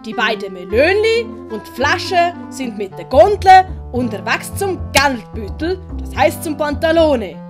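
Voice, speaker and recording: A woman's voice: sits high, big pitch swings, a little slow, few pauses, music in the background. A woman voicing a sweet, simple, cheerful male clown servant in a comic audiobook, childlike and eager. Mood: eager explaining. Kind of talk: German audiobook commedia dell'arte acting.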